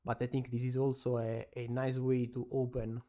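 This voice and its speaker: A man from Italy with an Italian accent, giving halting casual live-stream commentary on taekwondo fights and answering viewers. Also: mid-range voice, completely flat delivery, warm tone. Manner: halting non-native English with many "uh"s, chatting with viewers.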